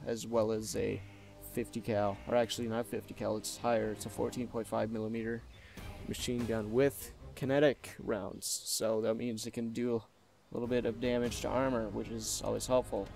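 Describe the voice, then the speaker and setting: A man talks at an unhurried, drawn-out pace, wandering between thoughts and correcting himself as he goes. His voice sits at an ordinary middle pitch, recorded at a home desk mic with quiet music under it. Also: gravelly. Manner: relaxed, rambling, many fillers and self-corrections. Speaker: gaming YouTuber. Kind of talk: casual game deck walkthrough.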